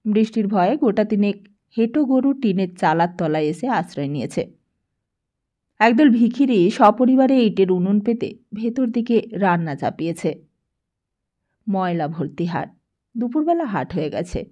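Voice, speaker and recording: A woman's voice: medium pitch, mellow, dull audio. Woman narrating an audiobook story.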